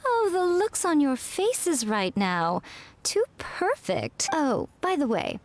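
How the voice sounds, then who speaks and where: An actress playing an elegant, playfully haughty fox shrine maiden in an anime video game, with a coy, self-satisfied drawl. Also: female voice, sits high, huge pitch swings, slow, heavily acted. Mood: amused, haughty.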